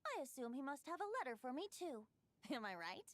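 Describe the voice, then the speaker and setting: Youthful, breezy bard with lively pitch. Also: female voice, brisk, dry recording. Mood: breezy, teasing. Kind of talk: video game voice acting.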